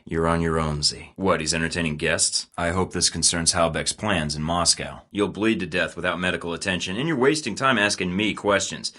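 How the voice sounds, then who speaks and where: Deep-voiced dry secret agent. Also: male voice, pushed and bright. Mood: cold. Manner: dry, clipped, sardonic delivery. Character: terse male secret agent, dry and guarded. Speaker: video game protagonist. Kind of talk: video game voice acting.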